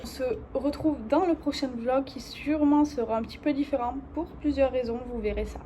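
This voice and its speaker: A young woman with an accent from France, vlogging in an informal, slangy, expressive way, confiding casually in her viewers. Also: high-pitched, fairly quick, slight background noise. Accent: French from France. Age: young adult.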